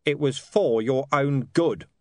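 A man voicing a stern, gruff watch commander who presses hard with his questions. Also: fairly high pitch, big pitch swings, fairly quick, dry recording, studio quality, voice pushed up.

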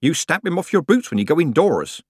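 A cheeky old country witch, played by a male narrator, pitched high and swooping freely. She is brisk and blunt, teasing in a folksy way, as a storybook character heard up close and dry. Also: very animated, a little mellow, slightly rough, no room sound. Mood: chatty, amused. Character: brisk, cheeky elderly village witch woman. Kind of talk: audiobook character voice.

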